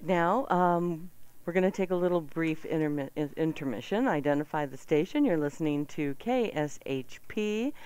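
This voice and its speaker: A woman's voice: sits low, moderate pace, a little mellow, clear, resonant tone. An American woman co-hosting a live radio show with casual chat.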